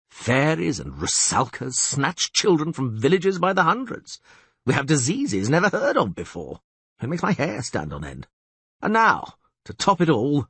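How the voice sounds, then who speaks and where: A man voicing a pompous middle-aged male castellan who blusters loudly, his pitch swooping high. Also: husky, no echo, full character voice. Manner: loud, theatrical, swoops high.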